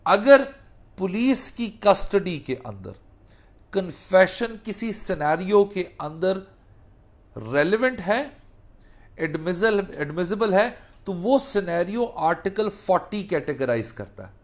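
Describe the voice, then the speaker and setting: Higher-pitched Pakistani man lecturing on law. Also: slow pace. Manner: animated explaining with acted-out examples and repeated key phrases. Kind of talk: spoken lecture mixing Urdu and English legal terms.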